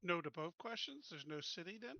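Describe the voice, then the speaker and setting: A man's voice: sits high, sing-song, a little fast, bright, forceful tone, dry recording, dull audio. A man playing a tabletop game, asking questions and making plans in casual chat. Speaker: player.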